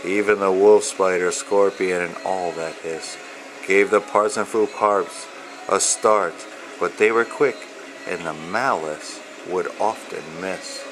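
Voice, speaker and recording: A man, an author, presenting and reading a children's storybook in deliberate, measured phrases. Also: low voice, noisy background. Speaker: author and illustrator reading own children's book. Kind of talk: read-aloud storytelling of rhyming children's book.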